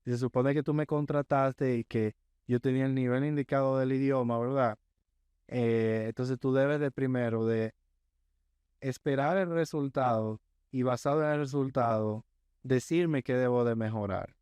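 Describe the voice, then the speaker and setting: A man who is a guest on a podcast, telling a work story in a measured way and often checking in with his listener. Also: mid-range voice, steady tone, a little mellow, smooth, no room sound. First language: Spanish. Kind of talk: casual interview chat.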